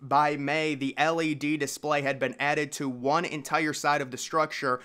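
A man with a bright, forceful voice pitched a little high narrates as a video host. His delivery moves between documentary-style reading and loose, slangy commentary. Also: flat delivery, moderate pace, smooth. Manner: informal, slangy asides.

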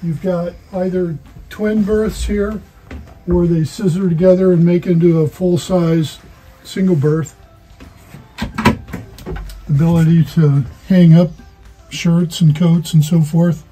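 A man's voice: high-pitched, fairly even intonation, slow, pauses often, music in the background, poor audio. A man, giving a matter-of-fact walkthrough tour of a boat in an indoor showroom. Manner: matter-of-fact, listing features.

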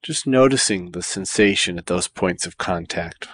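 Meditation guide: male, low register, calm and deliberate, leaving space between phrases. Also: breathy edge. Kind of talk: guided body scan meditation.

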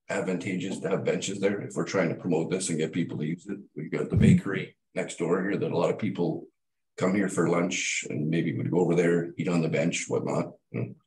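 Very deep-voiced casual Canadian councillor. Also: male voice, echoey room, low-quality recording. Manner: casual, relaxed, chatty. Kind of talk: council debate.

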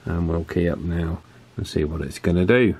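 A man's voice: low voice, normal speed, a little mellow, breathy edge. A man with a British accent giving a casual, chatty hands-on product review in colloquial language. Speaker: radio reviewer.

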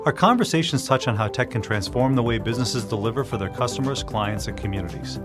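A man reads a scripted sponsor spot. He speaks briskly at an average pitch, with music clearly underneath. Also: animated. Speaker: sponsor announcer. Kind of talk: scripted ad read.

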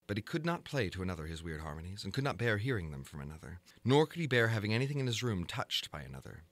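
A man narrating a gothic horror story in a formal, measured, theatrical manner, as in a dramatic audiobook. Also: fairly low pitch, very animated, no echo.

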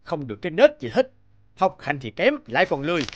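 A man playing a stern, hot-tempered middle-aged father in an animated drama, scolding and exasperated. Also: fairly high pitch, fast, dry recording, lo-fi sound.

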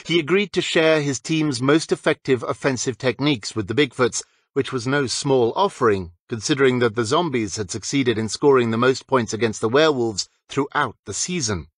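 A man's voice: medium pitch, normal speed, hardly any pauses, no echo. A man reading a scripted story as an audiobook narrator.

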